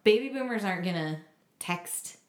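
A woman's voice: lowish voice, animated, normal speed. A woman co-hosting a podcast in casual conversation, recorded with a roomy echo. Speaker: podcast co-host. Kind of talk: casual chat. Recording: roomy, echoing sound.

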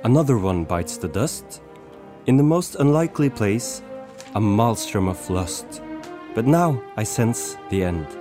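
A man's voice: lowish voice, expressive, unhurried, over music. A man from Norway playing a solemn, cryptic young band poet in an amateur radio play, speaking pompously. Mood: solemn, poetic. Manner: pompous, portentous.

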